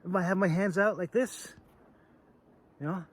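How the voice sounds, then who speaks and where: A man hosting a casual, off-the-cuff demonstration video, talking in a halting, rambling way with phrases that trail off. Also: sits high, steady tone, a little hiss or hum. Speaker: video host demonstrating sword carry.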